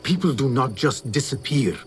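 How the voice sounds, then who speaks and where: A man acting an earnest young agent of a secret order in a cinematic game, probing and principled. His voice sits at a middle pitch, with music clearly playing behind it. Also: animated, normal speed, natural voice. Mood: stern.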